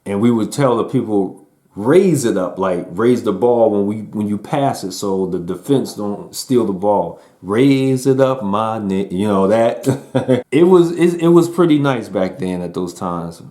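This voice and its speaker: Laid-back low-voiced man reviewing music. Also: a little slow. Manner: relaxed and conversational, with slang and fillers. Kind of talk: casual album review.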